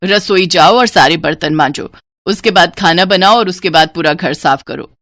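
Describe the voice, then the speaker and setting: A woman's voice: lowish voice, moderate pace, fairly bright tone, dry recording, studio quality, natural voice. A woman voicing a harsh, bossy mother-in-law in a cartoon story, scolding and commanding.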